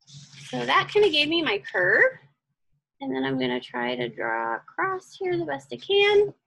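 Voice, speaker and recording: A woman's voice: sits high, wildly swooping pitch, a little slow, gravelly, a bit bright. A woman giving a casual step-by-step craft tutorial, chatty and encouraging, thinking aloud as she works. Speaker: craft instructor.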